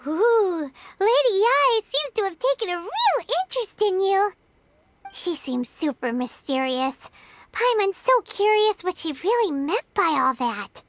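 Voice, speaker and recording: A woman voicing a small, childlike, excitable fairy companion with a squeaky voice in a video game. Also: unhurried, slight background noise, heavily acted. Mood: curious. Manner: refers to self in third person, exclaiming, expressive.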